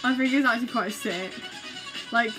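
Gushing young woman, high-pitched casual chat. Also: moderate pace. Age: young adult. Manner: gushing, giggly, exclamatory. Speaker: reaction video vlogger. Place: small bedroom, indoors. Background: music from videos being watched.

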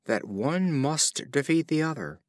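A man voicing an anxious old frog in a storybook fable, speaking slowly and with worry. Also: fairly high pitch, expressive, dry recording, studio quality, pitched above the performer's own voice.

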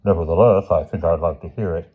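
A man's voice: low voice, fast, breathy edge, narrow, tinny audio. A man voicing a stern, exasperated middle-aged headmaster in a children's storybook, formal and firm in his pronouncements. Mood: pressing.

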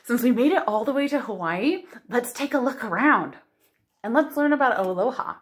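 A woman in her thirties hosting a storytime for young children, reading aloud and chatting in an expressive, exclamatory way. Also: on the high side, normal speed. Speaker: children's librarian and storytime host. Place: living room at home.

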